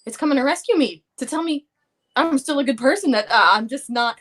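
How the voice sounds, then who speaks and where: A woman's voice: high voice, lively intonation, a little fast, fairly bright tone. A woman playing an edgy, anxious daughter in a live play reading, speaking in a hesitant, earnest ramble. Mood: awed, hopeful. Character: anxious, edgy daughter confessing violent urges.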